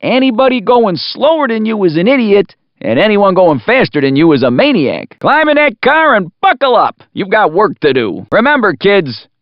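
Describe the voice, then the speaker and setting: Cocky radio announcer, high male voice, swooping animated delivery, folksy wisecracks, video game race commentary. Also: moderate pace, dry recording. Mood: chatty, wisecracking. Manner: folksy, wisecracking, showy, teasing. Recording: dry, slightly dull compressed game audio.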